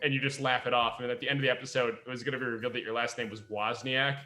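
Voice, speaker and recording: Bright-voiced man, chatting casually. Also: mid-range voice, rapid-fire, faint music behind. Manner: animated, swears freely, tells stories with mimicked quotes. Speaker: podcast host and audio drama creator. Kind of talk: casual chat among friends.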